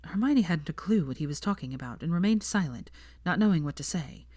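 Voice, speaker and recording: A woman narrating a fanfiction audiobook in a calm, even storytelling voice. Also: lowish voice, a little fast, no echo, studio quality. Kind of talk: audiobook reading.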